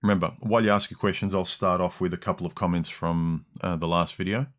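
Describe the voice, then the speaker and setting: Pria bersuara berat, siaran langsung santai. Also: male voice, fairly even intonation, quick pace, fairly bright tone. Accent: Australian. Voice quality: deep voice with some harshness in the mids and lows. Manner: rambling, with frequent ums and restarts. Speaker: livestream host. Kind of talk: casual livestream chat reading comments. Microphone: dynamic broadcast mic.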